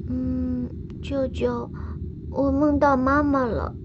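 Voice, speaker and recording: A woman's voice: high voice, level tone, takes it slowly, dull audio, very noisy. An actress playing an innocent, curious little girl full of sweet wonder in a radio drama. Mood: sleepy, curious.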